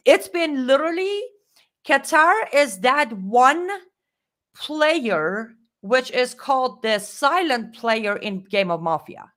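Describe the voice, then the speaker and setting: Chatty woman, middle eastern accent, bit high voice, animated and emphatic, live stream. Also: slow pace. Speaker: co-host. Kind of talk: casual live-stream commentary.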